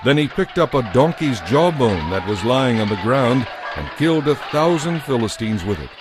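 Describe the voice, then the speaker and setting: A man narrating a dramatized scripture audiobook in a measured, solemn storytelling manner. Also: medium pitch, sing-song, noisy background.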